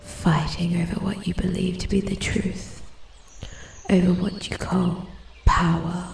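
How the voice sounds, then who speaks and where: A woman voicing an ancient immortal forest spirit, haughty yet intrigued, in a soft-spoken ASMR roleplay, with breathy, formal, measured speech that often drops to a whisper. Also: fairly low pitch, little pitch movement, reverberant, slight background noise. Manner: slow, measured, formal and condescending. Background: ambient forest sounds, birdsong.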